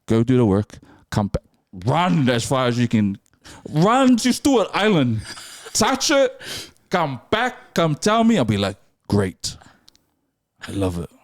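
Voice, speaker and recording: Lively young kiwi guy telling stories on a podcast, voice bounces all over, dry close mic. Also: a little slow, no room sound. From New Zealand. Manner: animated, swings high for impressions and laughs. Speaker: podcast guest, film director. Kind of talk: animated storytelling in casual chat.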